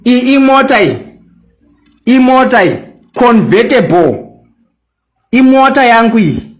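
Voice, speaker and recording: High-voiced young Zimbabwean man, taunting. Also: big pitch swings, measured pace, roomy sound. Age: 20s. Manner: boastful, taunting and mocking; mixes English into local-language talk. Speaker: social media personality. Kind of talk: angry response rant.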